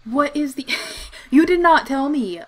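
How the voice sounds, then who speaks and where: A young woman reacting to a game on a desk microphone, giggly and bemused as she keeps pressing the same questions. Her voice is high, and she talks slowly. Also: expressive, rough recording. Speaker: gamer. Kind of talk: let's play commentary.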